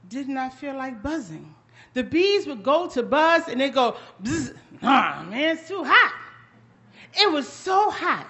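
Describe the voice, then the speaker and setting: High, bright, slow-paced woman storyteller. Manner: dramatic, emphatic, with long deliberate pauses. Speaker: children's storyteller. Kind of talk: high-energy interactive folktale performance.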